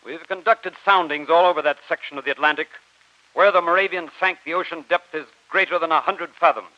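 An actor playing a stern, resolute navy commodore with an authoritative, declamatory manner in old-time radio drama. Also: male voice, a little high, brisk, dry recording, natural voice. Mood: grave. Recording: muffled dry old radio recording.

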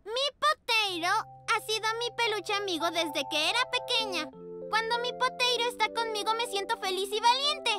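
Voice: very high-pitched, moderate pace. An actor voicing a cheerful, earnest little girl pug puppy in a children's cartoon. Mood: earnest, proud. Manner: earnest, expressive. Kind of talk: children's cartoon voice acting, Spanish dub. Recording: music behind the voice.

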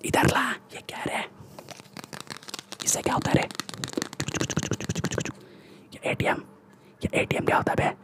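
A young man playing a swaggering, bullying Mumbai gangster in a breathy ASMR whisper, with slangy, boastful Mumbai Hindi speech, close to the microphone. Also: measured pace, long pauses, lightly acted. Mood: demanding. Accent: Mumbai Hindi street slang. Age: young adult. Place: small room indoors. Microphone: close desktop condenser microphone.

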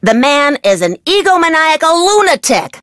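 An animated, eccentric insect scientist. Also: female voice, high voice, normal speed, no room sound, studio quality. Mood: scornful. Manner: wordy, emphatic, bossy, prone to digressing. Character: eccentric, driven, easily sidetracked woman insect researcher. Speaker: game character, field entomologist. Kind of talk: scripted video game voice acting.

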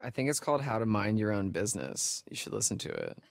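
An actor playing a wisecracking, jittery young American camp counselor in a horror video game, with slangy, hesitant, sarcastic delivery. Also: male voice, lowish voice, big pitch swings, fast, creaky, no room sound, clean studio sound. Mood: teasing. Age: young adult. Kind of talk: naturalistic horror game acting.

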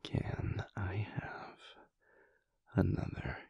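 A man playing a soft, unsure werewolf boyfriend in an intimate audio roleplay, breathy and husky, murmuring with hesitant stammers. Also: low voice, completely flat delivery, extremely slow, dull audio, exaggerated voice. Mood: pleading, tender. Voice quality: breathy, husky, half-whispered. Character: soft, unsure, shy, grumpy-but-endearing werewolf boyfriend.

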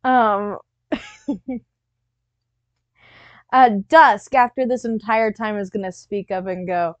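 A woman's voice: mid-range voice, steady tone, slow pace, dry recording, dull audio. A woman playing a tabletop game, giggly and often laughing in casual banter.

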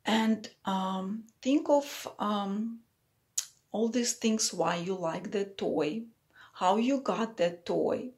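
A woman's voice: mid-range voice, drawn-out words, darkish tone. A woman in her thirties or forties reading a picture book to children as a teacher, with simple explanatory asides.

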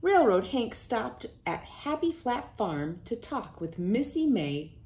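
High, mellow, animated woman reading aloud. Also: slow pace. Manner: playful, expressive. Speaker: children's read-aloud host. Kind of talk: animated picture-book reading.

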